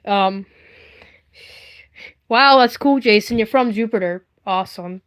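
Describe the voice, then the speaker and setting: A woman's voice: medium pitch, measured pace, a bit bright. A woman hosting a casual livestream, rambling in a playful, silly way as she shows things off. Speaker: livestream host. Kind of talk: casual chatty livestream, showing handmade puppets.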